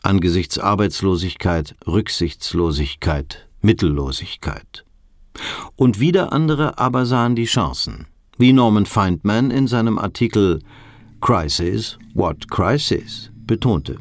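An actor playing a sober documentary narrator in a radio play, measured and matter-of-fact. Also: male voice, fairly low pitch, expressive, no room sound, studio quality. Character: sober documentary narrator piecing together a stock scandal.

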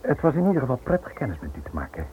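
A man acting a smooth, menacing Italian financier in a radio play, polite, insinuating and pressing. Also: medium pitch, wildly swooping pitch, rapid-fire. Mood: insinuating politeness. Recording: tinny, noisy old radio play recording.

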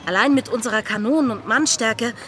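A high-voiced young pirate woman, played by an actress, commanding and impatient. She speaks with sharp, pressing insistence in a radio drama, with music running underneath. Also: a little fast, natural voice. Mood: urgent, insistent. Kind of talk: audio drama acting.

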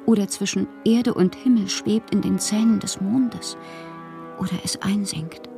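An actress playing a passionate, eccentric bohemian poetess, reading fanciful letters in a theatrical radio drama monologue with a breathy voice. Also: female voice, mid-range voice, unhurried, dark tone, soft background music. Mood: anxious, haunted. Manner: theatrical, dreamy, often slipping into breathy whispers. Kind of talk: dramatic literary monologue in a German radio play.

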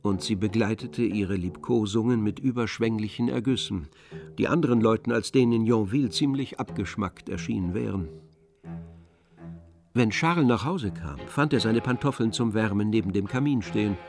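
A man's voice: fairly low pitch, normal speed, gravelly, a little mellow, soft background music. A man narrating a literary radio play from a script.

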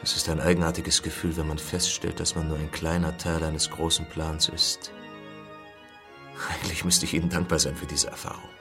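Gravelly, disillusioned traveller man. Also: extremely low pitch, little pitch movement, moderate pace. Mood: resigned. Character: disillusioned, introspective male traveller on a bleak world. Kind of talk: radio drama inner monologue and dialogue. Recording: loud music bed behind voice.

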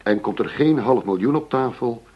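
An actor in a Dutch radio play playing a blustering, fed-up police chief who talks bluntly, with sarcasm and combative demands. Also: male voice, mid-range voice, animated, moderate pace, husky. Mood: angry, demanding. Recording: dull, muffled radio drama recording.

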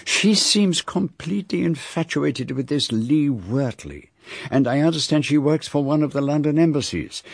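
An actor playing a shrewd, courteous, self-assured foreign detective in a radio drama, with precise, formal phrasing. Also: male voice, fairly high pitch, animated, normal speed, creaky, slightly rough, no echo, studio quality, exaggerated voice. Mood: probing. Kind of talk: radio drama dialogue and narration.

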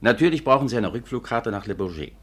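An actor playing a courteous, businesslike police detective in a 1950s radio drama, speaking formally and matter-of-factly. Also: male voice, mid-range voice, animated, slightly rough. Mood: calm, courteous. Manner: measured, matter-of-fact, formal. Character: courteous, businesslike male Scotland Yard detective.

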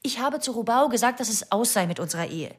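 A distraught wife presses her accusations in a radio play. Her voice is rather high, urgent and insistent. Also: expressive, fast, breathy edge.

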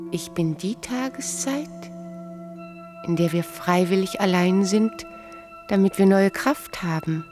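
An actress playing a mature, serene wife who appears like a dream in a radio play, speaking in measured, poetic phrases. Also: female voice, lowish voice, exaggerated voice.

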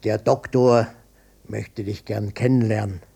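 An older man playing a stubborn, defensive widowed father in a radio drama, blunt and colloquial, with a gravelly, husky voice. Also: fairly low pitch, steady tone, unhurried, mellow, full character voice. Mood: evasive. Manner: blunt, colloquial, swinging between offhand and touchy. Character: gruff widowed old father, stubborn, defensive, matter-of-fact.

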